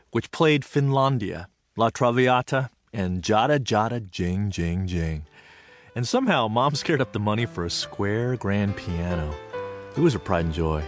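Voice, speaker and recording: A man's voice: on the low side, dry recording, lightly acted. An American actor playing an earnest, reflective Japanese American man recalling his farm childhood in a dramatic audio theatre monologue. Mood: fond, nostalgic. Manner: expressive, deliberate storytelling with weighted pauses.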